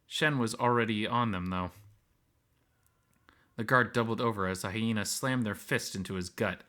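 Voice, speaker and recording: A man's voice: fairly low pitch, normal speed, a bit bright. A man reading scripted first-person story narration as the narrator.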